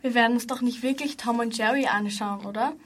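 Child acting as easygoing schoolgirl, young girl, high pitch, noisy amateur radio play. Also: a little fast, close to an everyday voice. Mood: casual. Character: easygoing schoolgirl visiting her friend.

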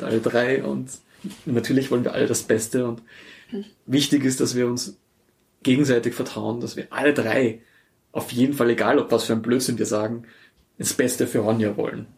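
A man's voice: medium pitch, steady tone, fairly quick, creaky, darkish tone. A man with an Austrian accent giving conversational answers as an interview guest.

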